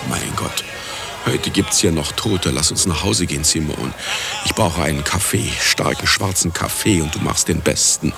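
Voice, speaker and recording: An actor in a radio drama playing an uneasy, self-absorbed middle-aged husband and scientist. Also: male voice, a bass voice, normal speed, creaky, over music. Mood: brooding.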